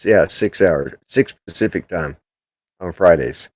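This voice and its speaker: A man's voice: deep voice, animated, measured pace, a little mellow, no echo, studio quality. An older man hosting a casual political chat show, talking in a blunt, rambling, opinionated way. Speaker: podcast host.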